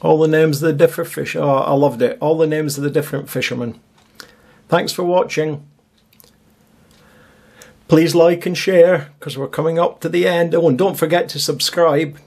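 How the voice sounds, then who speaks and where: A man's voice: fairly high pitch, fairly even intonation, moderate pace, vocal fry, darkish tone. A man narrating his own seaside video in a casual, chatty way with wry asides. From Scotland. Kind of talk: casual voice-over commentary.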